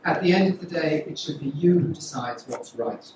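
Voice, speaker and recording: Formal campaign speaker in an echoey hall. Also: female voice, sits low, normal speed, recorded from a distance, noisy background. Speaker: local election candidate. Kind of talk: prepared campaign speech.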